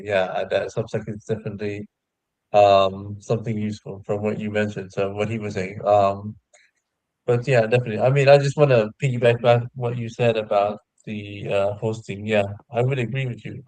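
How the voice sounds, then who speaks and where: A man chatting casually in a halting, rambling way on a live online show. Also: fairly low pitch, fairly even intonation, a little mellow, dry recording, poor audio. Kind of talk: casual chat.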